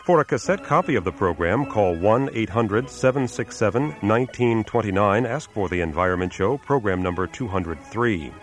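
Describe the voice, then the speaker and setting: A man with a fairly low voice hosts a radio environment program. He reads his script in a measured, announcer-like way and poses careful questions to his guests. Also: expressive, faint music behind. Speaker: radio show host and reporter. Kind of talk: scripted radio narration and interview.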